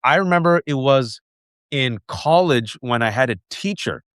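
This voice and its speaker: Animated storytelling man hosting an interview. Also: medium pitch, normal speed, clean tone, dry recording, clean studio sound. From United States. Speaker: host. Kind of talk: conversational interview.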